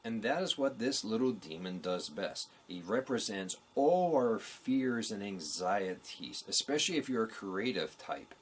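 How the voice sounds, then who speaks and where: A man presenting a scripted review to viewers as the host, in an earnest, measured delivery. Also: on the low side, a little hiss or hum. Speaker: host of a book review show.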